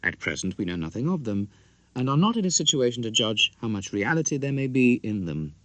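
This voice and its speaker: A man voicing a prim, formal little old lady in an audiobook, with precise, measured and stately diction. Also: medium pitch, sing-song, a bit bright, no room sound. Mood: prim, cautious.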